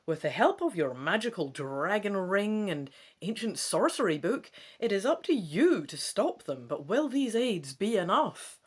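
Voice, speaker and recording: A woman's voice: medium pitch, measured pace, few pauses, slightly rough. A woman hosting a solo gamebook let's play, mixing dramatic read-aloud with chatty, musing asides. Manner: chatty, musing asides between expressive reading.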